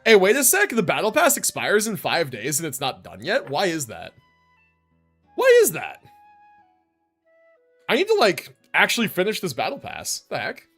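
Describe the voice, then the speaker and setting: An American man chatting casually on a live game stream in an animated, slangy, joking way. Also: high voice, normal speed, long pauses, breathy edge, soft background music.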